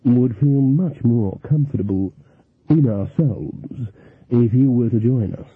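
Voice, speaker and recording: A man voicing a smooth-talking male card sharper, slow and ingratiating. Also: mid-range voice. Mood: oily. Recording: thin phone-like sound.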